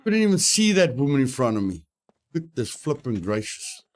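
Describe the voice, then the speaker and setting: A man's voice: mid-range voice, big pitch swings, moderate pace. A man streaming a survival game, giving live commentary, repeating his phrases and yelping with mild exclamations when startled.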